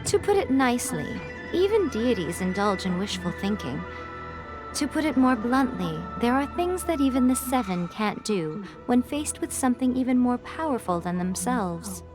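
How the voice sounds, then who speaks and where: A woman's voice: a little high, big pitch swings, moderate pace. A woman voicing a sly, elegant shrine priestess in a video game, with a teasing, knowing manner. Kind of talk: video game character acting. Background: background music.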